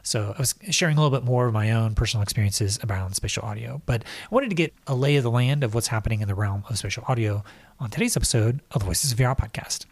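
A man hosting a podcast introduces his guest and asks questions in a loose, casual way, with plenty of fillers such as "like". His voice sits at an ordinary middle pitch. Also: fast. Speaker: podcast host.

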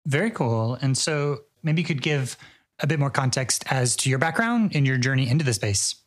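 A man hosting a podcast introduces his guest and asks questions in a loose, casual way, with plenty of fillers such as "like". His voice sits at an ordinary middle pitch. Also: expressive, normal speed. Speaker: podcast host.

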